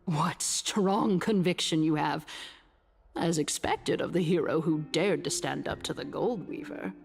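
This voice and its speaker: A shrewd stateswoman from a fantasy video game, composed and courtly. Her low voice carries a light creak as she delivers formal, grandiose oratory in measured phrases. Soft music plays behind her. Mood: slyly probing.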